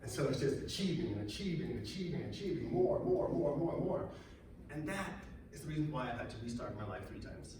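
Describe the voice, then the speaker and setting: Raspy-voiced man speaking in an echoey hall. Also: medium pitch, fairly quick. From Denmark. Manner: energetic, asks the audience questions. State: hoarse from being sick. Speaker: speaker at a marketing event. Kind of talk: motivational talk to an audience. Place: large echoey event room. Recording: echoey room, mic far away, loud noise.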